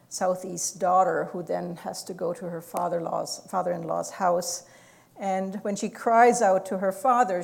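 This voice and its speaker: A woman university lecturer giving an academic talk, explaining things at length with frequent "uh" fillers and an occasional soft laugh. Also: mid-range voice, vocal fry.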